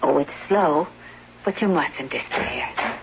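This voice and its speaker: An actress playing a devoted, protective private nurse in an old-time radio drama, firm yet soothing and brisk. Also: female voice, low voice, gravelly, full character voice. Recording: muffled, noisy old radio broadcast.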